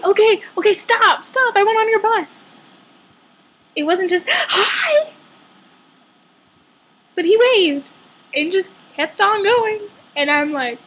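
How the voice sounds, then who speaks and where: A woman's voice: very high voice, a bit bright. A woman telling a personal story casually, dramatically acting out dialogue with a sarcastic edge.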